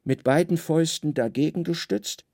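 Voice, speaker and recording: A man narrating a literary radio drama in a hushed, deliberate, dramatic way. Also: fairly high pitch, gravelly, dry recording, clean studio sound.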